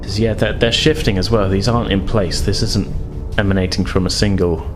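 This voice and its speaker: Low-voiced man running a game over music. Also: steady tone, brisk. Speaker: game master. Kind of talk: narrating a tabletop role-playing game.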